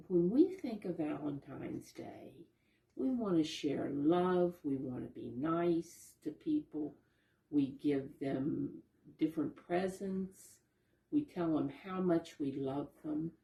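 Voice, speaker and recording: A woman's voice: low-pitched, creaky, warm tone, echoey room, low-quality recording. A woman storyteller talking to young children, patiently explaining in simple words and asking them questions. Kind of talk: children's read-aloud lesson, addressing young listeners.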